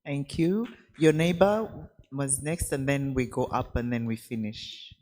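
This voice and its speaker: A woman moderating a panel, briskly managing turns and time. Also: extremely low pitch, darkish tone.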